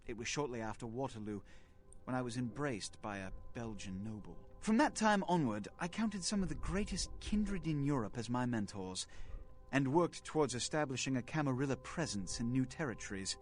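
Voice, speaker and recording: A man's voice: mid-range voice, lively intonation, close to an everyday voice. Man playing imperious vampire prince, swooping delivery. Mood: proud, lofty. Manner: pompous, formal, measured diction. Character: imperious aristocratic male vampire prince, centuries old, condescending. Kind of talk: video game dialogue acting. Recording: game audio with background music.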